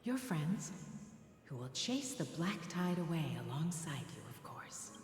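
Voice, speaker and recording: Game role: tender priestess mother, low soft breathy voice, long reverb, music behind. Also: sing-song, a little slow. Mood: gentle, storytelling. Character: gentle, reassuring mother and priestess. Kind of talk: video game voice acting.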